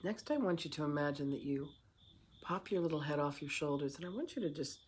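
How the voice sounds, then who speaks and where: A meditation guide speaking in a soothing, unhurried way with long pauses, leading a guided meditation. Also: mid-range voice, breathy edge.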